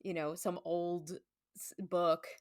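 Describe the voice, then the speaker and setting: A woman's voice: fairly low pitch, little pitch movement, slow, darkish tone, no room sound, studio quality. A woman guest on a podcast, chatting casually and informally and often saying "like". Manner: informal, peppered with "like". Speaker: podcast guest, stage performer. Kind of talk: casual chat about classic film.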